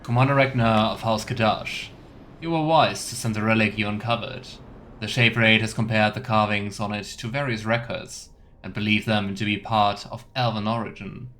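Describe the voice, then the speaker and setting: A man's voice: lowish voice, a bit bright. A man streaming a game, giving casual commentary, talking to chat and reading in-game text aloud. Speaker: streamer and let's player. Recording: faint game ambience behind voice. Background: faint video game ambience.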